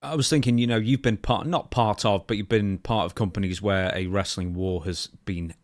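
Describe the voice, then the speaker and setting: A man's voice: fairly low pitch, steady tone, moderate pace, no room sound. A man hosting a podcast, putting questions to his guest in a conversational way. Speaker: podcast host, interviewer. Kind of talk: conversational interview.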